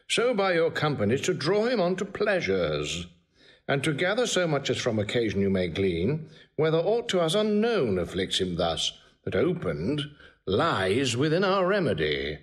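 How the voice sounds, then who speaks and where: An actor playing a stately, formal, smooth-talking king in a classical Shakespearean audio drama. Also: fairly low pitch.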